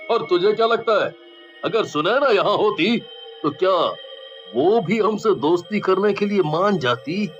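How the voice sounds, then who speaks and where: A man's voice: high-pitched, unhurried, fairly bright tone. A man playing a brazen, bullying young village thug in a cartoon story, with a lecherous, scoffing manner. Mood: scornful. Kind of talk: Hindi cartoon story voice acting. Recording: dull lo-fi voice over music.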